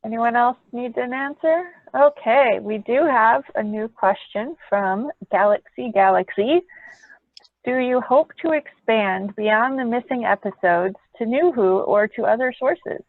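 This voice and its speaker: A woman's voice: on the high side, unhurried, phone-quality sound. A woman casually moderating an online question session, heard over a video call. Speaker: panel moderator. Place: remote group video call. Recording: roomy, thin band-limited call audio.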